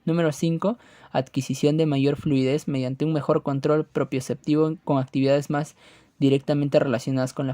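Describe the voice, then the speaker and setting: Higher-pitched man narrating in a mellow voice. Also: no room sound. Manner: formal, reading aloud. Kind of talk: scripted educational narration.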